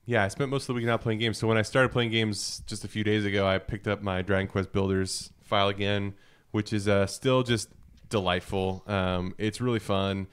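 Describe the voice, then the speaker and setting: A man's voice: lowish voice, flat delivery, moderate pace, smooth. A man co-hosting a gaming podcast in casual, conversational style. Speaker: podcast co-host.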